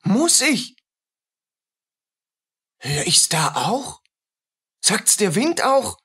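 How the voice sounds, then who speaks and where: A woman's voice: mid-range voice, very animated, extremely slow, creaky, fairly bright tone, slightly rough, full character voice. A woman playing a tormented, jealous male soldier in a radio play, agitated, speaking in broken, repetitive bursts. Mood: haunted, deranged. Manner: agitated, fragmented, obsessive repetitions. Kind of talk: dramatic radio play acting.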